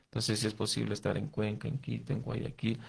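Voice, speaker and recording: A man's voice: lowish voice, monotone, fairly quick, creaky, slightly rough. A man with an Ecuadorian accent answering interview questions conversationally, explaining things and often restarting his sentences. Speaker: interview guest, watercolor artist and youth coordinator.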